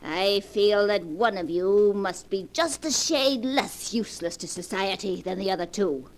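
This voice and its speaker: A woman's voice: mid-range voice, a little slow, lightly acted. A woman playing a rich old grandmother in a 1940s radio drama, dry, withering and imperious, on an old recording. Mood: amused, commanding.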